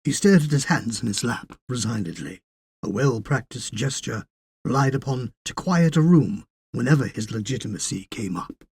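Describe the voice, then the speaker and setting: A man reading a literary story as narrator, in a scripted, measured storytelling style. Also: mid-range voice, animated, gravelly, a little mellow, slightly rough.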